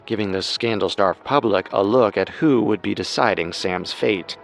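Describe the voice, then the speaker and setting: A man co-hosts a true-crime show, reading scripted narration. His voice sits somewhat low. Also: normal speed, gravelly. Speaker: podcast co-host and narrator.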